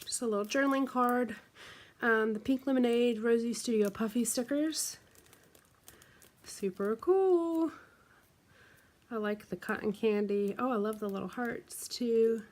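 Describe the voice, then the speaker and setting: A woman's voice: fairly high pitch, measured pace, pauses often, a little mellow. A woman from the United States, congested from the flu, chatting casually with lots of ums and likes as she shows off scrapbooking supplies. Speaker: craft vlogger. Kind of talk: casual show-and-tell unboxing.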